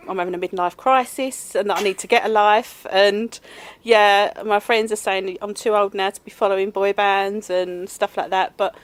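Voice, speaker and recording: A middle-aged woman talking candidly in an interview. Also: mid-range voice. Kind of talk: casual interview answers.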